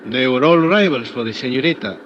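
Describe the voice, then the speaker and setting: An actor playing an earnest, friendly local guide in a 1950s radio drama. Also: male voice, mid-range voice, animated, fairly quick, a bit bright, lightly acted. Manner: earnest, broken English. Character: local man, friendly guide, Spanish accent. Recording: dull old radio recording with hiss.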